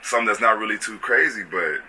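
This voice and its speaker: A man's voice: lowish voice, quick pace, pushed and bright. A young American man chatting casually and off the cuff, with a laid-back, slangy way of talking. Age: young adult. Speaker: athlete and clothing brand founder. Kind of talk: casual unscripted chat. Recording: clearly noisy background with clicks.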